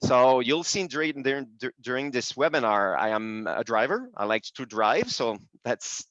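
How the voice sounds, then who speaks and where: A French-speaking man from Quebec hosting a webinar in English with a Quebec French accent, pausing often and restarting his sentences. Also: mid-range voice, a bit bright, no echo. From Quebec, Canada. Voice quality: frequent hesitations and restarts in a second language. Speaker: webinar host and presenter. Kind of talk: hesitant presentation. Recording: dull dry video call audio.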